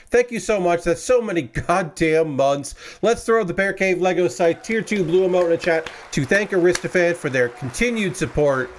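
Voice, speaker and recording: A young man with a somewhat high-set voice chats loosely with his livestream audience from his room. He rambles, trips over his words and corrects himself, and he still sounds a little stuffy after a cold. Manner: rambling, self-correcting, chatty with viewers. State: slightly congested, recovering from a cold. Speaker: streamer building model kits. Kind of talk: casual livestream chat. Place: his room with window open.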